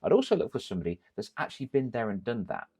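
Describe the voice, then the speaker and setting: An English man, a confidence coach, giving energetic direct-to-camera business advice full of rhetorical questions. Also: mid-range voice, rapid-fire, breathy edge. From England.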